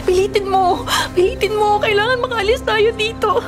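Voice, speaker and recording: A woman's voice: very high-pitched, normal speed, over loud music. An actress in a Filipino radio drama playing a desperate, loyal young woman, urgent, pleading and emotional. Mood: urgent, reassuring. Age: young adult. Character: desperate, loyal young daughter rescuing her boyfriend.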